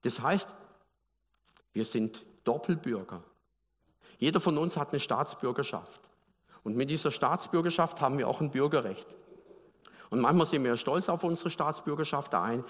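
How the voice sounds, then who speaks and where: Preacher, male, from Baden, mid pitch, chatty sermon delivery, long reverb of a church hall. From Baden, Germany. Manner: conversational, asks the congregation questions.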